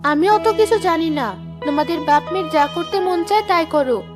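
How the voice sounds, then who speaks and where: A woman's voice: very high voice, moderate pace. A woman playing a fretful, blunt village mother. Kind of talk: cartoon voice acting. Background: background music.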